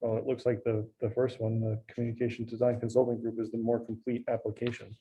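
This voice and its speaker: A man's voice: medium pitch, monotone, quick pace, dark tone, husky, low-quality recording. A man speaking as a town official in a public meeting, summing up documents. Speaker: town official at a bid opening.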